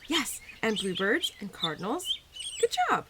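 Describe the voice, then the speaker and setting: A woman's voice: mid-range voice, measured pace, very noisy. Woman acting a bubbly, lilting spring spirit. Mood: playful, encouraging. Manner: bubbly, affectionate, gently teasing, expressive. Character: cheerful, playful, nurturing young female spirit of spring. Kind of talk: roleplay audio drama addressed to the listener.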